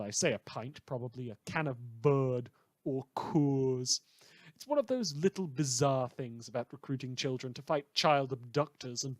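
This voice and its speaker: A man's voice: medium pitch, no room sound. A man playing an opinionated, wry radio news host, delivering a chatty, theatrical monologue with sardonic asides in an audio drama. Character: opinionated, indignant, wry male small-station radio presenter.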